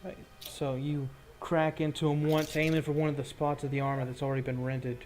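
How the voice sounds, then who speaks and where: A man runs a tabletop game, improvising action narration. His voice sits a little high for a man, with faint music underneath. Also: flat delivery, brisk, a little mellow. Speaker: game master.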